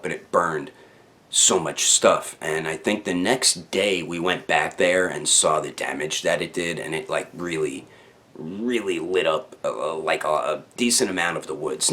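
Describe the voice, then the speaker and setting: A man with a low voice hosts a casual storytime for his online viewers. He talks in loose, slangy sentences without a script, rambling and doubling back on words as he goes. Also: a bit bright. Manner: rambling, slangy, with stammered repeats. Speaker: YouTube storyteller, channel host.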